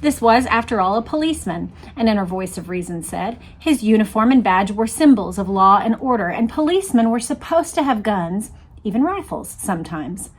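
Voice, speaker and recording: A woman host talking through material and reading aloud in a deliberate, emphatic, explanatory way. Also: mid-range voice, expressive. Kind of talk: explanatory talk and dramatic read-aloud.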